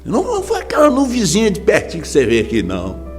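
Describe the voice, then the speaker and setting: A man preaching a sermon as pastor in a Brazilian Portuguese accent, impassioned, swinging from hushed drops to sudden shouts. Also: sits high, huge pitch swings, fast, big-room sound, music in the background. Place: large church hall.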